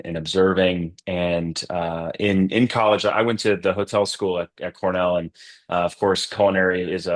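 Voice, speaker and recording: A middle-aged man from Massachusetts, talking casually as a podcast guest, relaxed with lots of fillers and restarts. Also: sits low. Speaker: podcast guest, coffee company co-founder. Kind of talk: casual interview chat.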